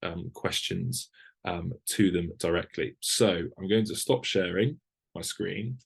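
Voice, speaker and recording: Gravelly, low-pitched male panel host. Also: slow. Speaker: host, moderator. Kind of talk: hosting an online panel. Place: online video call.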